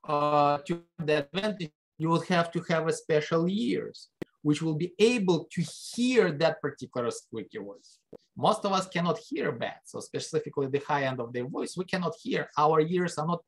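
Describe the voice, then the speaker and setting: Lively man lecturing. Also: low-quality recording. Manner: conversational, asks the class rhetorical questions; lively pitch jumps for emphasis. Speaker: biology lecturer. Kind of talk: recorded classroom lecture over slides.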